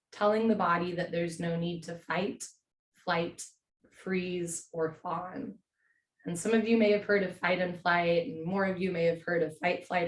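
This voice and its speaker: A woman with a hoarse voice from a cold, teaching a yin yoga class from home in a slow, gentle, soothing way. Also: fairly low pitch, reverberant, rough recording.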